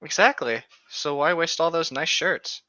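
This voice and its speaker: A man's voice: fairly high pitch, unhurried, pushed and bright, no echo. A man co-hosting a casual chat, tossing in flippant asides and short jokey interjections, on a slightly dull microphone. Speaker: podcast co-host.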